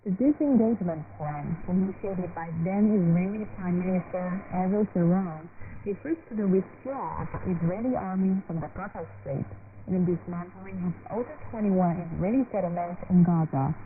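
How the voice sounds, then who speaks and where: A woman's voice: lowish voice, normal speed, phone-quality sound, background noise. A woman reporter reading a scripted news report on a shortwave radio broadcast.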